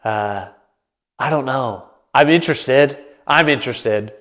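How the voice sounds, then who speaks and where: A man's voice: mid-range voice, takes it slowly, a bit bright. A man hosting his own sports talk show, stuffed up and a bit nasal, thinking aloud in a loose, rambling way to the camera. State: congested, nasal. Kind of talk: casual solo commentary to camera. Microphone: camera mic, solo setup.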